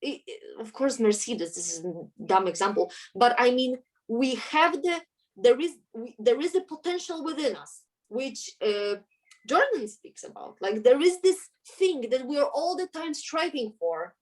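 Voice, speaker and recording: Earnest Polish-accented woman, slightly high voice. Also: animated, measured pace. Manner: earnest, searching for words and restarting phrases. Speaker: guest. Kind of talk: conversational interview.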